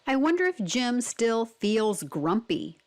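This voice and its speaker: A woman's voice: a little high, big pitch swings, takes it slowly. A woman reading a picture book aloud to children, explaining simply and asking guiding questions. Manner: simple, explanatory, addressing young listeners. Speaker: read-aloud narrator.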